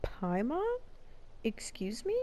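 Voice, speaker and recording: High, animated woman game streamer. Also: slow pace, a little hiss or hum. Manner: playful, self-deprecating, reactive. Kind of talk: casual live gameplay commentary. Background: game music.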